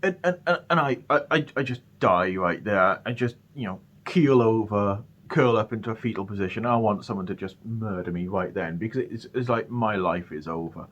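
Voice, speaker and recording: A man's voice: lowish voice, normal speed, hardly any pauses, fairly bright tone. A British man telling a personal story casually, often stammering and repeating his words as he goes. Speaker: storyteller, channel host.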